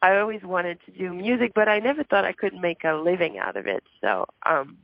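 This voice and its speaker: A woman with a hoarse voice from a throat issue answers interview questions in a shy, halting way, with many pauses and little laughs. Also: fairly low pitch, animated, no echo, muffled recording. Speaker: musician guest.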